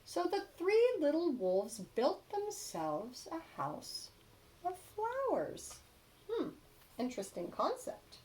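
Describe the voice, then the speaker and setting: A woman reads a storybook aloud for children. Her voice is fairly high and very lively in pitch, with emphatic stresses and dramatic pauses. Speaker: storybook reader.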